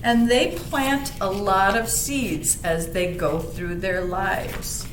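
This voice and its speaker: Female library story reader for kids, slow explanatory delivery, mid pitch, echoey recording with slight rumble. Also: fairly even intonation. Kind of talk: read-aloud children's lesson with explanations. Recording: roomy echo, faint rumbling background noise.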